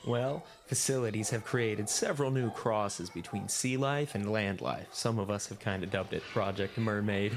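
Man playing a low-voiced, cocky veteran scientist. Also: normal speed, hardly any pauses, noisy background, natural voice. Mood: teasing, relaxed. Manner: casual, slangy, patronizing. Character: cocky, teasing, laid-back seasoned male scientist. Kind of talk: audio drama acting.

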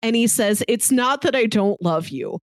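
A woman's voice: a little high, expressive, moderate pace, no room sound, clean studio sound. A woman co-hosting a podcast, talking casually and conversationally about film. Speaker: podcast co-host. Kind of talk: casual film discussion chat.

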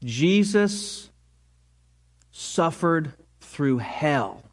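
A man preaching from the front of a church in a slightly high voice. He invites the congregation to join in reading aloud and calls a guest up to speak. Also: expressive, extremely slow. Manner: inviting, leads scripture reading. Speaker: pastor. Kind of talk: sermon.

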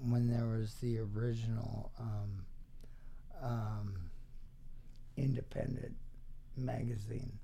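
A middle-aged or older man reminiscing casually as an interview guest, halting and wryly dry in his phrasing. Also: lowish voice, steady tone, creaky, mellow, slight background noise.

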